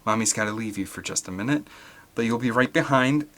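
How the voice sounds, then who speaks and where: A man voicing a gentle, frightened mother who speaks softly and reassuringly in an audiobook. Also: mid-range voice, a little fast, lightly acted. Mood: anxious, soothing.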